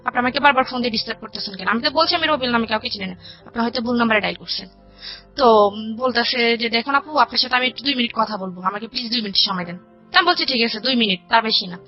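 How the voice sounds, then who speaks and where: A woman's voice: a little high, rapid-fire. A woman with a Bangladeshi accent tells a personal life story conversationally, recounting dialogue in detail. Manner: recounts dialogue in detail, quoting both sides. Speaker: guest telling her life story. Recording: dull lo-fi radio audio with music. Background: background music.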